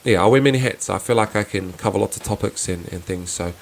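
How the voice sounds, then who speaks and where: Soft-voiced young man, earnest and low. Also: quick pace, creaky. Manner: earnest, reflective, with frequent restarts and fillers. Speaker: podcast host. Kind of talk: casual reflective solo podcast.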